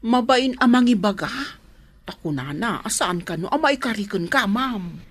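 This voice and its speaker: A woman's voice: mid-range voice, expressive, moderate pace, natural voice. A woman with an Ilocano accent playing a nosy, teasing matchmaker of the household in a radio drama, frank and coaxing.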